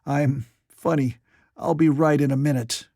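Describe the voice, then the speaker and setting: A man voicing a weary, hungry gentleman in an audiobook, with a curt, dry manner. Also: fairly high pitch, fairly even intonation, unhurried, gravelly, dry recording. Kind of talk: audiobook character voice.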